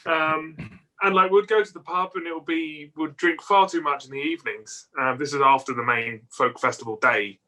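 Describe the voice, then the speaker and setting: Man, bright forceful tone, slightly high, easygoing, trailing off. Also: fairly even intonation, normal speed, rough recording. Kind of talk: casual chat.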